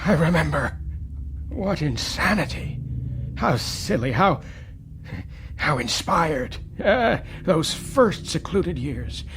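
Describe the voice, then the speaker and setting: A man playing an elderly, lonely man in a radio drama, brooding and rueful, with halting, gravelly speech. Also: a little high, very animated, a bit bright, a little hiss or hum, heavily acted. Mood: wistful. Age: older. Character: elderly lonely man, rueful, haunted by his own past.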